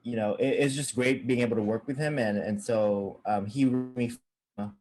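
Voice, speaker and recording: A young man from Saipan, a cameraman, talking casually as a guest on a video call, halting with many fillers and restarts. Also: mid-range voice, steady tone, a little mellow, poor audio.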